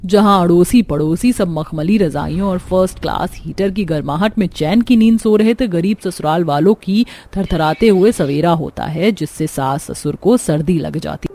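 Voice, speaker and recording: A woman's voice: fairly low pitch, moderate pace, few pauses, mellow, slight background noise. A woman reading scripted storytelling narration for a cartoon.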